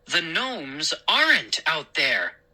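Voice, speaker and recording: Woman playing an exasperated, stern dad, sharp-voiced. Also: low voice, sing-song, slow pace, full character voice. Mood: weary. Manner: stern, snapping. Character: exasperated stern suburban father. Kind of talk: audiobook character dialogue.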